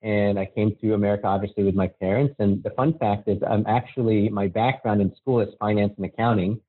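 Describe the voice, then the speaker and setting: A man from the Philippines speaks with a low, husky voice over a thin, phone-like line. He answers in an easygoing way and restarts his sentences now and then. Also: brisk. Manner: relaxed and friendly, with frequent restarts. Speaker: marketing executive guest. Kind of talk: conversational interview answers. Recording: tinny phone-line sound.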